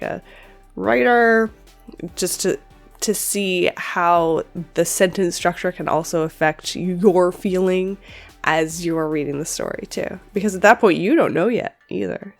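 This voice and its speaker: Young woman host, slightly stuffy, chatty and loose, lowish voice, faint music under. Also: vocal fry. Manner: chatty, with fillers and self-corrections. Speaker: audiobook reader and channel host. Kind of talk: story reading, then casual commentary.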